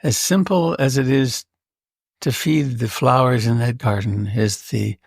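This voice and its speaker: A man leading a guided meditation in a gentle, unhurried way with long pauses and a creaky voice. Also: mid-range voice, no echo, clean studio sound. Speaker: meditation teacher.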